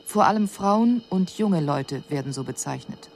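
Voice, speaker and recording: An actress playing an extraterrestrial observer in a radio drama, reporting on human customs in a clinical, measured, matter-of-fact way. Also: female voice, lowish voice, lively intonation, a little mellow, slight background noise. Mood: detached, analytical.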